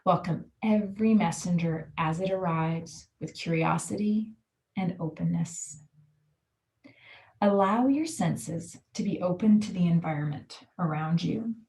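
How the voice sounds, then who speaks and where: A woman guiding a relaxation meditation, giving calm, soothing, measured instructions. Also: on the low side, low-quality recording. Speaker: yoga nidra guide.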